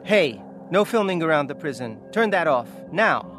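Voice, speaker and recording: A man's voice: fairly high pitch, big pitch swings, normal speed, music in the background. A man voicing an annoyed prison bodyguard who gives brusque, dismissive orders. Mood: curt.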